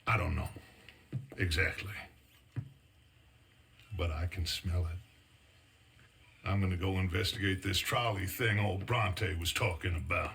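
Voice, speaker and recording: An actor playing a scheming middle-aged outlaw gang leader in a game cutscene, with a gravelly voice and a measured, theatrical, persuasive delivery. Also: male voice, sits low, fairly even intonation, a bit bright, heavily acted. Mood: confident, dreamy. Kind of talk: video game cutscene acting.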